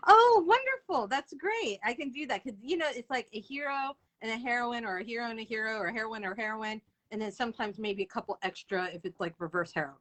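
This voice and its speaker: A woman's voice: fairly high pitch, lively intonation, fast, few pauses, fairly bright tone. A woman hosting a casual, informal live interview, chatting freely and often laughing as she talks. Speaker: interview host.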